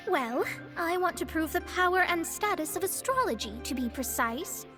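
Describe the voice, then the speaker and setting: A woman's voice: very high voice, big pitch swings, measured pace, music in the background, exaggerated voice. A woman voicing a proud, haughty young female astrologer in a video game, formal and self-assured. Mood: persuading. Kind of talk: game voice acting.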